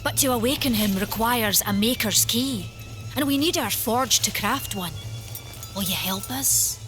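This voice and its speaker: A woman's voice: a little high, measured pace, natural voice. A woman playing a proud, sturdy forge keeper of an ancient fantasy race in a video game, frank and earnest. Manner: frank, earnest, archaic turns of phrase. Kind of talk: dramatic fantasy game acting. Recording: loud music behind the voice.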